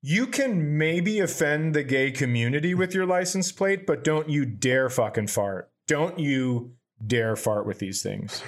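A man's voice: fairly high pitch, a little slow, hardly any pauses. Seorang pria membawakan obrolan komedi santai, membacakan kiriman dengan datar dan sering mengumpat. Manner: profane, animated storytelling with deadpan read-outs. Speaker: podcast co-host. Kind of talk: casual comedy chat, reading out items.

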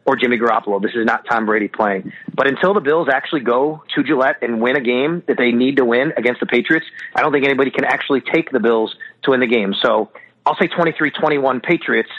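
A man's voice: medium pitch, quick pace, a bit bright. A man giving opinionated sports commentary as a radio guest, emphatic and argumentative, over a dull, lo-fi connection.

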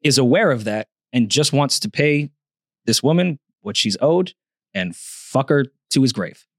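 Man, mid pitch, blunt, crude humor, devil's advocate, podcast co-host, dry sound. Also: animated, measured pace, no room sound. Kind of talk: casual chat.

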